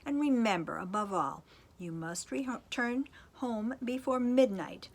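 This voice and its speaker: Woman playing a bossy, emphatic fairy godmother, animated. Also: a little high, unhurried. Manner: commanding, emphatic. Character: bossy, brisk fairy godmother with magic wand.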